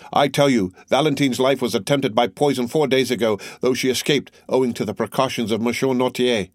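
A man's voice: medium pitch, dry recording, studio quality, voice pushed up, lightly acted. A man voicing a grieving young man who accuses others with fierce insistence. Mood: accusing. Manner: impassioned, insistent.

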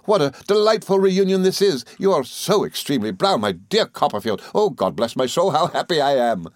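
A man voicing an exuberant, gushing, good-natured young gentleman in an audiobook, full of excited exclamations and repetitions. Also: on the high side, fairly quick, dry recording, pitched above the performer's own voice. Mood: happy, flustered. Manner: effusive, exclaiming, repeats himself excitedly. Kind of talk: audiobook character voice.

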